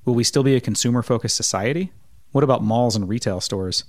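A man hosting a podcast, reading a measured intro and then asking questions with some hesitation. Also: medium pitch, dry recording. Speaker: podcast host. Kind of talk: scripted intro then casual interview.

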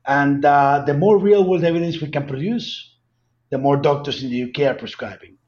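A man's voice: a little high, normal speed, a little mellow, highs cut off. A man from Colombia with a Colombian Spanish accent, answering interview questions earnestly and emphatically, often repeating words. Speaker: guest, cannabis company founder.

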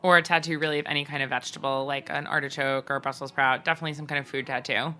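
A woman co-hosting a podcast in casual comedic chat, telling things in a joking, animated way. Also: very deep voice, very fast. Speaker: podcast co-host.

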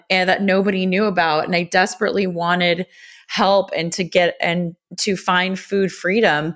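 A woman's voice: on the low side, fairly bright tone. A woman in her thirties from Los Angeles, a cookbook author and food blogger talking candidly as a guest in a podcast interview. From Los Angeles, USA. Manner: candid, open, chatty with small restarts.

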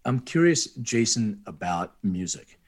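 A man's voice: fairly low pitch, unhurried. A man co-hosting a podcast, talking casually and jokingly, acting out little quotes as he goes. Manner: jokey, laughs often, animated retellings. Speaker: podcast co-host.

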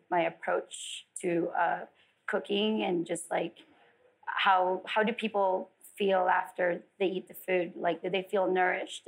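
A woman's voice: fairly low pitch, vocal fry, low-quality recording. A woman chef from the Philippines, talking in a loose, rambling way with lots of fillers on a live panel. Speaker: executive chef. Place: event venue with audience.

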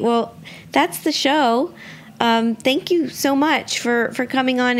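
A woman hosting a podcast in a conversational interview style. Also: mid-range voice. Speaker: podcast host.

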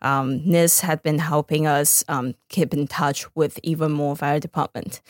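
Low-pitched Taiwanese-accented woman, close dry sound. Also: steady tone, unhurried, no room sound. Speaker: startup founder, podcast guest. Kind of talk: conversational interview answers.

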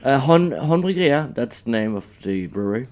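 A man's voice: medium pitch, animated, normal speed, darkish tone. A man in his thirties from Norway with a Norwegian accent, a brewery guest chatting casually and joking about himself. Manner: joking, self-deprecating. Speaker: brewery sales manager guest. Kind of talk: casual interview chat.

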